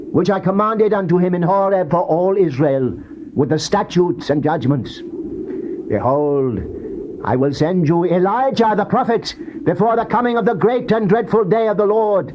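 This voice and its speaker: An actor playing a stern God of Israel speaking judgment, in a solemn, declamatory dramatized scripture reading. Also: male voice, sits high, darkish tone. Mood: solemn, exalted. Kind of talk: dramatized scripture reading, classical stage delivery. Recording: muffled, noisy old cassette tape.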